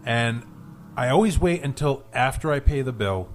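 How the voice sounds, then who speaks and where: A man's voice: medium pitch, lively intonation. Man chatting on radio over background music. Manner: self-deprecating, joking, halting storyteller. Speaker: radio show host reviewing local restaurants. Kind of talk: rambling casual studio chat. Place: radio studio. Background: music under the talk.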